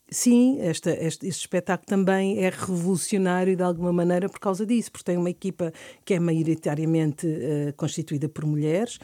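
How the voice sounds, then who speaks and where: A woman talks thoughtfully as a radio guest, pausing and circling back as she searches for words. Her voice sits low and mellow, recorded dry and close. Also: no echo. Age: 50s. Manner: thoughtful, many restarts and hesitations. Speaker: theatre director guest. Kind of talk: radio interview, reflective talk.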